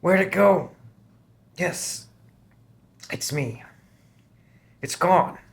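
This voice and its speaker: An actor playing a weak, dying man with a raspy, scratchy voice who struggles to get his words out at a table read. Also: high-pitched, very slow, full character voice. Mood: irritated.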